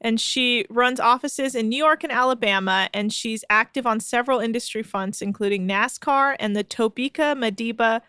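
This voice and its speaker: A woman's voice: on the high side, moderate pace, hardly any pauses, sharp tone, smooth, no room sound, clean studio sound. A woman co-hosting a casual podcast chat, reading posts aloud with amused disbelief. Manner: amused, incredulous, laughing. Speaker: podcast co-host.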